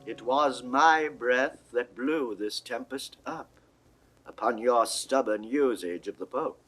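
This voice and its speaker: An actor playing a commanding, self-assured papal envoy in formal, declamatory 1960s Shakespearean style. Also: male voice, medium pitch, slow pace, fairly bright tone, low-quality recording. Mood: lofty, solemn. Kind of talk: 1960s classical Shakespearean recorded acting.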